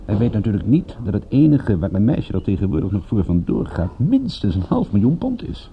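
Deep, gravelly private detective. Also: male voice, sing-song, fairly quick, slight background noise. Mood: wry. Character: wry male private detective narrating his own case. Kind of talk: radio play acting with first-person narration. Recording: dull, lo-fi radio play recording.

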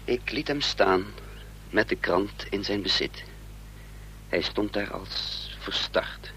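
An actor playing a troubled man caught up in intrigue, narrating his own story with measured drama in a radio play. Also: lowish voice, sharp tone, exaggerated voice. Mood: grave. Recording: faintly noisy, humming radio play recording.

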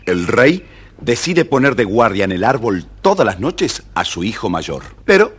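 A man with a husky voice narrating a children's fairy tale dramatically, as a scripted storyteller. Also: on the low side, very animated, a little slow, fairly bright tone.

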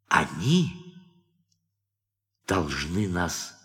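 An actor in a radio drama playing a brooding, bitter astronaut with a poetic soul, speaking in a measured, declamatory way. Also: male voice, low-pitched, very animated, bright, forceful tone, exaggerated voice. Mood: accusing. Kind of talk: theatrical radio drama acting. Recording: studio radio play with music behind.

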